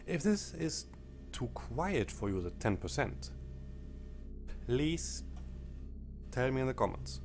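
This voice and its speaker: A man whose first language is German, talking over a game menu in halting phrases that break off mid-sentence. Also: medium pitch, very animated. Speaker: let's play commentator. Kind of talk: game commentary with disclaimers. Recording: faint game menu music behind.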